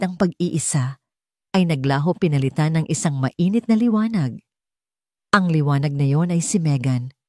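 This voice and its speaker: A woman's voice: deep voice, darkish tone, no room sound, studio quality. A woman with a Filipino accent reading a scripted romance story as an audiobook narrator.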